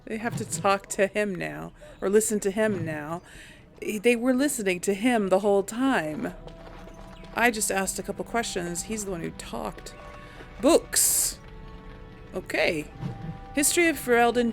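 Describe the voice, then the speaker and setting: Unhurried, creaky-voiced woman gamer. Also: mid-range voice, animated, faint music behind. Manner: musing aloud, chatty, informal. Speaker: host of a role-play gaming video series. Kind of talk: casual game commentary mixed with in-character dialogue.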